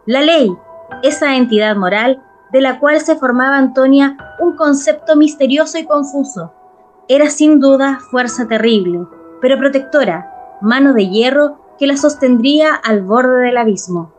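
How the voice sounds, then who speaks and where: A woman's voice: sits high, measured pace. A woman narrating a literary story in a dramatized radio reading. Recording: music underneath.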